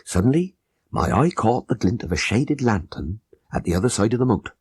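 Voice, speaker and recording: A man's voice: lowish voice, expressive, brisk, darkish tone, breathy edge, no echo, studio quality, voice pushed up. A man playing an old-time ex-soldier who earnestly and vividly recounts his crime in an audiobook. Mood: tense recounting. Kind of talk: audiobook character narration.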